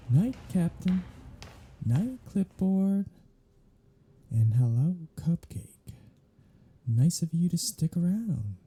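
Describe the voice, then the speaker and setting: A man playing a captive vampire in an audio roleplay, snarky, taunting and flirtatious, drawling sarcastic lines in a husky, gravelly voice. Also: fairly high pitch, dark tone, heavily acted. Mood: mocking, then wry, taunting. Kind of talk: scripted audio roleplay monologue.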